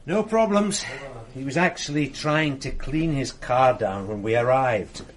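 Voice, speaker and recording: A man's voice: medium pitch, animated, measured pace, natural voice. An actor playing a tired, good-natured male detective sergeant in a radio drama, talking in an easygoing, matter-of-fact way.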